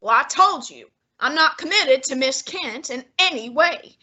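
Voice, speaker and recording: A pompous, imperious male detective, played by a young woman in a radio play. The voice sits high and sounds bright and tense. The lines are clipped and scornful. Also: animated, a little slow, exaggerated voice. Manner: haughty, clipped, dismissive. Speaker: high school drama student. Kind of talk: amateur radio play acting.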